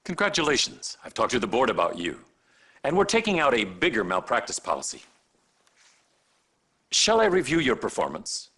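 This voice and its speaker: A sardonic, raspy, animated hospital boss. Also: male voice, medium pitch, exaggerated voice. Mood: mocking. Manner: sardonic, theatrical, deliberate pauses for effect. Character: sarcastic, condescending male hospital boss berating a doctor. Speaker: hospital supervisor. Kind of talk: acted video game cutscene.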